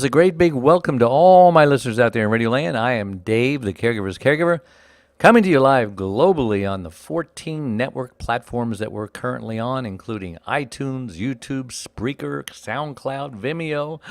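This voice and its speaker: Radio host guy, super animated pitch, jokey, dry close mic. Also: no room sound. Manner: enthusiastic, jokey, stretches words for emphasis. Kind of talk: upbeat radio show hosting. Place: Los Angeles.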